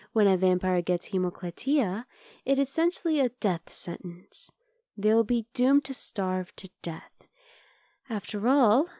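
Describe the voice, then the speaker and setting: A woman playing a possessive, bloodthirsty vampire girl in an ASMR roleplay, talking intimately to the listener and slipping into breathy whispers. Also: medium pitch, dark tone, dry recording, studio quality, close to an everyday voice. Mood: intimate, pleased.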